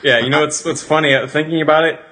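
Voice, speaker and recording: A man co-hosting a podcast, easygoing and quick to laugh. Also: a little high, fairly even intonation, pushed and bright. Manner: laughs easily, agreeable. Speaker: podcast co-host. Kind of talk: casual chat.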